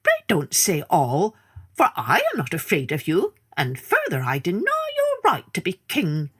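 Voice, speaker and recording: A woman's voice: on the low side, wildly swooping pitch, a little slow, fairly bright tone, breathy edge, exaggerated voice. A woman voicing a tiny, defiant gnat, cheeky and indignant, in a storybook tale. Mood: disdainful.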